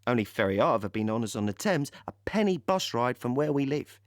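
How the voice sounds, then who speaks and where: Audio play: cheerful young army driver, played by male actor, colloquial storyteller, highly animated delivery, dry sound. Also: fairly quick, no echo, close to an everyday voice. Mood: matter-of-fact. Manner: colloquial, ungrammatical, chatty storytelling with chuckles. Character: cheerful working-class young British army driver recalling D-Day. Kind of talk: dramatic monologue in an audio play.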